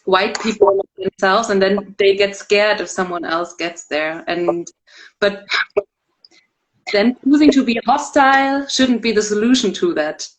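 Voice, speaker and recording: A woman's voice: medium pitch, measured pace, low-quality recording. Woman interviewer, thoughtful and hedging. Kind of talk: casual interview talk.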